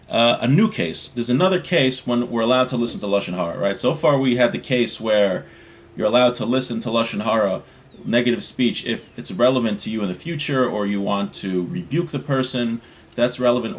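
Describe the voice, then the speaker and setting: A man's voice: medium pitch, brisk. Casual man explaining a religious text. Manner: reads Hebrew aloud then translates in casual speech. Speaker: Torah teacher.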